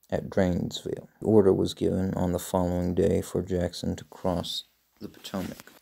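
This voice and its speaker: A man reading historical excerpts from a script in a measured, solemn manner. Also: deep voice, gravelly, dark tone, husky. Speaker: reader.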